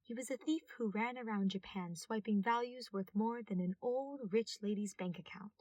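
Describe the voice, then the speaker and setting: A woman's voice: mid-range voice, moderate pace, a little mellow, dry recording, recorded from a distance. A woman reading scripted fiction aloud as a narrator. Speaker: podfic narrator.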